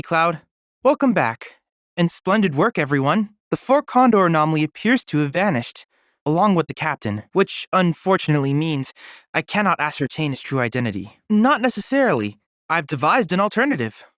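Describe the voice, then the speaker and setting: An actor voicing an earnest, excitable young android boy researcher in a video game, with precise, formal, polite diction. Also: mid-range voice, no echo, studio quality. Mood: formal, sincere. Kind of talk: video game character voice lines.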